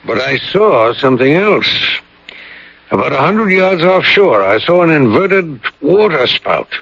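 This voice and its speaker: An actor playing an earnest, superstitious colonel who tells grave stories deliberately in a radio drama. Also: male voice, on the high side, very animated, a bit bright, husky, heavily acted. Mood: grave, musing. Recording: dull, roomy old radio broadcast.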